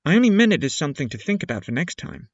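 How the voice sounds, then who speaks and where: Earnest father, lively pitch, dry sound, acted by a man. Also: very fast, no room sound, muffled recording. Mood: gently advising. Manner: earnest, advising. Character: thoughtful, high-minded father of grown daughters. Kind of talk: period audio drama acting.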